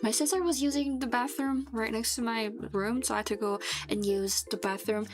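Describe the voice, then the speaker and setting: A woman's voice: fairly high pitch, fairly even intonation, fairly quick. Sick woman rambling on a stream. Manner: rambling, many restarts, frequent laughs. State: sick with a fever, dry throat. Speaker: vtuber streamer. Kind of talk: casual live stream chat. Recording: background music under the voice.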